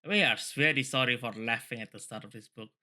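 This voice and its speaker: Male, very bright forceful voice, read-along host, casual, repetitive. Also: mid-range voice, fast talker. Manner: rambling, repeats phrases. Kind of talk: book reading mixed with casual commentary.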